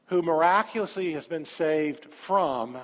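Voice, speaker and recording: Pastor, male, high voice, emphatic sermon delivery, reverberant hall. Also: measured pace. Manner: emphatic, repeats phrases.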